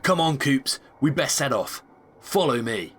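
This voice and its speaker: A man's voice: medium pitch, lively intonation, unhurried, fairly bright tone, breathy edge. A man playing an enthusiastic, chatty space agent in a comic sci-fi audio drama. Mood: eager, excited. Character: eager, chummy male space agent, family man.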